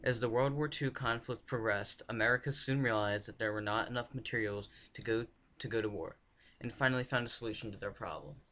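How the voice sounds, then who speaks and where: A man's voice: medium pitch, a little fast, sharp tone, slight background noise. A man narrating a scripted newsreel, reading from prepared text.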